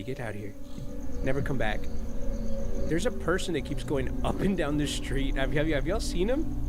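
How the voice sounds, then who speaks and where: Brief: male streamer, slightly high voice, profane joking banter with bits of Spanish slang. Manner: joking, profane trash talk with the game's characters; drops Spanish slang into English. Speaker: streamer playing a horror game. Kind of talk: casual gameplay commentary.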